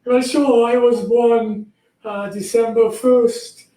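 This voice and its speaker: The courteous man in his eighties you would hear recounting his life from a bare, echoing room, mellow and unhurried. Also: extremely high pitch, fairly even intonation. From Trinidad. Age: 80s. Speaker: guest, recovered patient. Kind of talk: conversational interview answers.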